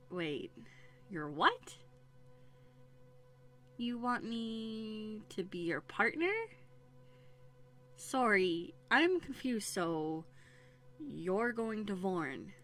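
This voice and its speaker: A woman playing a young runaway princess in a roleplay audio drama, playful and plucky, with a conversational, teasing manner. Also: on the low side. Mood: confused, surprised. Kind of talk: scripted one-sided roleplay audio drama. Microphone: close USB condenser microphone. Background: soft music.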